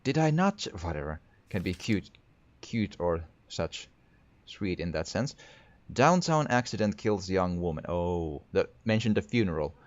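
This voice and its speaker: A man's voice: deep voice, very animated, a little slow, dry recording, lo-fi sound. A man with a Finnish accent narrating his horror game playthrough, thinking aloud with frequent stammering restarts. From Finland. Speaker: gaming video creator. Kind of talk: horror game let's play commentary.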